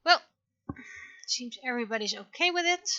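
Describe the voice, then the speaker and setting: A woman's voice: a little high, expressive, moderate pace, sharp tone, no room sound, dull audio. A woman giving casual livestream commentary as she shows her own creative work. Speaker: livestreamer, webcomic and visual novel creator.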